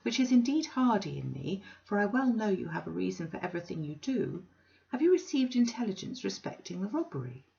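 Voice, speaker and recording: A woman's voice: mid-range voice, big pitch swings, a little fast, creaky, warm tone, pitched above the performer's own voice. A woman voicing a genteel older lady who speaks in a prim, deferential, overly polite way. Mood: fawning. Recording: dull lo-fi recording.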